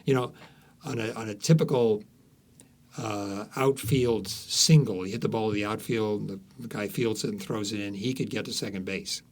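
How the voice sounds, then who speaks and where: Low-pitched man telling stories, haltingly. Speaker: author interviewed as guest. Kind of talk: conversational interview storytelling.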